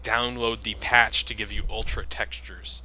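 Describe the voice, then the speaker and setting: A man's voice: on the low side, little pitch movement, measured pace, pushed and bright. A man hosting a video game playthrough with casual, rambling, conversational commentary. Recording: clearly noisy background.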